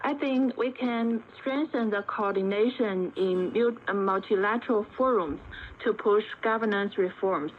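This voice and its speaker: A woman speaking as an expert guest, giving measured, formal analysis point by point in an interview over shortwave radio. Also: medium pitch. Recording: dull lo-fi shortwave radio with faint noise.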